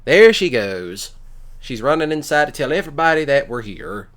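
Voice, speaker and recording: Folksy man in halting roleplay chat. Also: on the high side, very animated, fairly bright tone. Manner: folksy, halting, with drawn-out pauses. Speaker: ASMR roleplay performer. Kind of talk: improvised boyfriend roleplay, casual one-sided chat.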